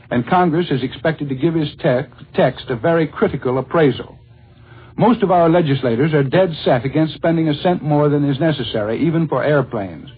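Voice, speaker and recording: An American man reporting the news as a 1940s radio correspondent, with a husky voice. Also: on the high side. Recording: scratchy narrow-band 1940s radio recording.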